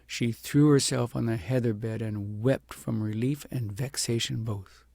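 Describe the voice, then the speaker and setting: A man narrates a storybook in a very gravelly, raspy voice. He phrases deliberately and leans on words for dramatic effect. The sound is dry, with little room in it. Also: medium pitch, steady tone, a little mellow, no echo. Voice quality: gravelly, husky. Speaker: audiobook narrator. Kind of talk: expressive scripted storybook narration.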